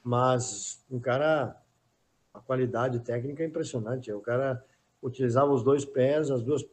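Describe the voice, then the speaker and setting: A man's voice: mid-range voice, normal speed. A middle-aged former footballer as a podcast guest, talking in a casual, hesitant way and often repeating words while he thinks. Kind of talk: casual interview chat.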